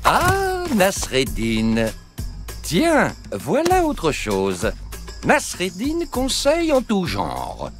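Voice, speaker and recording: A man voicing a pompous vizier in a cartoon dub, slow and musing. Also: high-pitched, huge pitch swings, soft background music, exaggerated voice. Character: pompous male vizier, scheming official. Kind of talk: cartoon dubbing.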